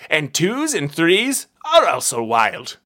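A man's voice: on the high side, wildly swooping pitch, slow pace, bright, forceful tone, voice pushed up, full character voice. A man doing a brash blustering detective. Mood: swaggering. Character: brash, blustering male detective, crude and self-assured.

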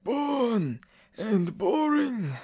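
A man playing a stern, commanding chief of officers in a radio drama, formal and deliberate, with a husky, creaky voice. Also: very high voice, very animated, a little mellow, pitched above the performer's own voice, full character voice. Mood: dry contempt.

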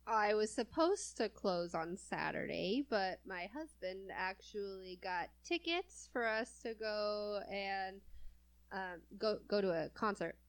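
A woman's voice: medium pitch, expressive, slow pace, clean tone. A young adult woman from California chatting casually as a co-host, laughing often between phrases. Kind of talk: casual chat.

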